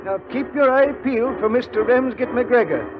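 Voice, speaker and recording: An actor playing an earnest, plain-spoken police officer, in 1940s radio acting. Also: male voice, very high-pitched, normal speed, over music. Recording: dull lo-fi 1940s radio recording.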